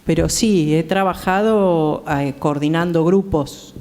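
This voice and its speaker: Female panel guest, Rioplatense accent, halting informal explanations, reverberant theatre acoustics. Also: sits low, mellow, slight background noise. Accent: Rioplatense Argentine. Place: theatre, live conversation.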